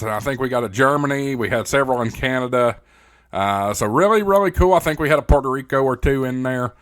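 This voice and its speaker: A man hosting a ham radio channel, talking in a casual, folksy presenter style straight to his viewers. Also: mid-range voice.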